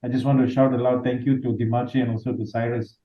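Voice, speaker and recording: A man's voice: medium pitch, flat delivery, quick pace. A man from Malaysia chatting on a group video call, hesitant with many fillers and thankful in tone. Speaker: trading group member. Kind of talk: casual group call chat. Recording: tinny echoey call line.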